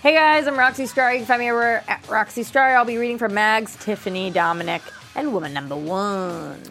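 A woman's voice: a little high, a little fast, fairly bright tone, faint music behind. A woman actor chatting casually as she introduces herself on a panel. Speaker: actor on a table read panel. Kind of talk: casual chat.

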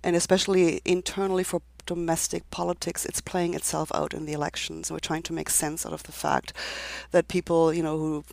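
A woman author being interviewed about her book, speaking in a thoughtful, measured way with frequent restarts. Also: sits low, little pitch movement, vocal fry, darkish tone, no echo. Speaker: author and legal scholar, interview guest. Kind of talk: conversational interview.

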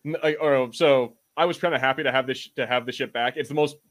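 A man's voice: mid-range voice, steady tone, fast, fairly bright tone. An American man co-hosting a podcast, talking casually in a rambling, slangy way about things he is a fan of. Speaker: podcast co-host.

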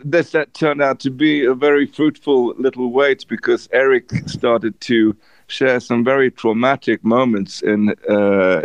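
A man's voice: medium pitch, dry recording. A man hosting a podcast, chatting casually and rambling through his questions with many hesitations. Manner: rambling, hesitant, lots of fillers. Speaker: podcast host. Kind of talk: casual conversation.

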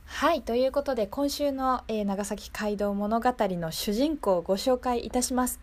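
Lady doing a local radio show, voice a bit on the high side, polite but conversational. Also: moderate pace. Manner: polite, conversational, often seeking agreement from listeners. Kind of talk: local radio show hosting, polite announcements mixed with chatty asides. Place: radio studio.

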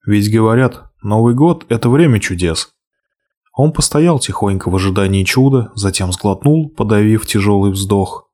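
A man reading a fairy tale aloud, expressive, slowing and hushing for the sad passages. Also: fairly low pitch. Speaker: narrator. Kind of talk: storybook reading.

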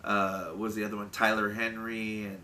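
A man's voice: lowish voice, little pitch movement, fairly quick, bright, forceful tone. A man co-hosting a podcast, rambling in casual chat with lots of fillers and restarts. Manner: rambling, full of likes and restarts. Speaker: podcast co-host.

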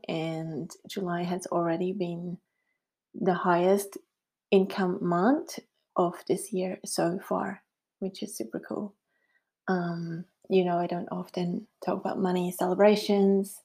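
Woman, middle-aged, Swiss German accent, podcast host, slow unhurried pace, mellow tone, chatty and upbeat. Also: lowish voice. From Switzerland. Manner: chatty and upbeat, frequent "um" and soft laughs. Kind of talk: casual solo podcast talk.

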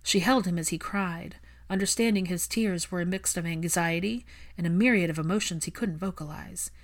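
A woman's voice: lowish voice, moderate pace. A woman reading scripted fiction aloud as an audiobook narrator.

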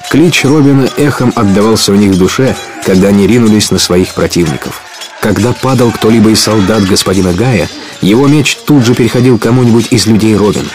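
A man narrating an adventure audio play as a storyteller, scripted and dramatic. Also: lowish voice.

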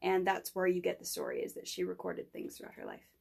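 A young adult woman, a student guest, giving an informal pitch in loose, tentative phrases. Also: wildly swooping pitch, fast talker, gravelly, a little mellow, husky. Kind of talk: informal spoken pitch in an interview.

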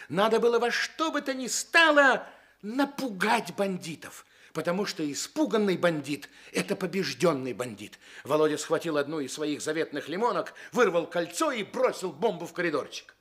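A man's voice: high voice, lively intonation, normal speed, fairly bright tone. A man playing the narrator of a radio adventure play, telling the story in dramatic scripted narration. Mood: tense.

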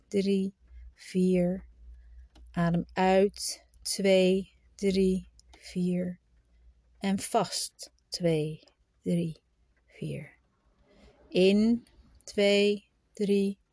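A woman leading a guided meditation in a soft, soothing, instructive manner, at times trailing into a breathy whisper. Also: medium pitch, no room sound. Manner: informal, instructive, with unhurried pauses.

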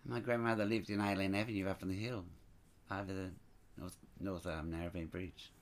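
A man who grew up in Sydney, an entertainer telling stories in an interview in a lively, expressive way, with frequent asides. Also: deep voice, a little fast. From Scotland, raised in Sydney. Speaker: stage entertainer and show producer. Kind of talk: chatty interview storytelling.

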